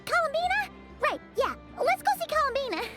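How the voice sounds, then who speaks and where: A woman voicing a small, childlike, excitable and chatty female fairy companion in a video game, bubbly and expressive. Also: very high voice, moderate pace, music in the background. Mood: excited, cheerful. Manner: bubbly, expressive, speaks of self in third person.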